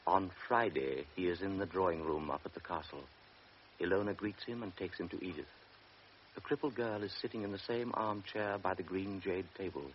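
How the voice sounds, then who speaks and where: A deep-voiced man narrating a classic radio play in a measured, even way. The recording is dull, with a faint hiss underneath. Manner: measured, present-tense storytelling. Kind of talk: scripted radio drama narration.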